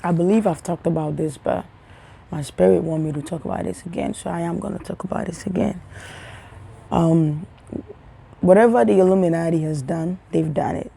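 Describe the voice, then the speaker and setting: A woman from Africa, a spiritual healer, talks casually to her audience in a rambling way, repeating words and often dropping into hushed tones. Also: on the low side, moderate pace, creaky, slightly rough. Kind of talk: casual spiritual talk to camera.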